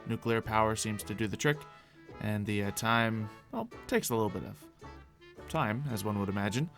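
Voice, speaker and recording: A man's voice: fairly low pitch, expressive, normal speed, a bit bright, soft background music. A man playing a polite, smug android inventor in an improvised game roleplay, musing in formal, precise wording. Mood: explaining, smug.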